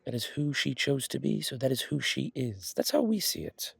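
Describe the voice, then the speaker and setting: A man's voice: mid-range voice, sing-song, normal speed, gravelly, husky, dry recording, exaggerated voice. A man playing a charming, flirtatious male museum guide in an audio roleplay, chatting intimately with genteel, hesitant asides. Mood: earnest, firm. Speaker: character performer in an audio roleplay. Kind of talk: intimate ASMR roleplay monologue, conversational.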